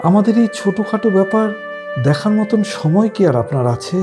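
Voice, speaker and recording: Plaintive, swooping poor villager. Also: male voice, high voice, animated, brisk, over music. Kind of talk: Bengali cartoon dialogue.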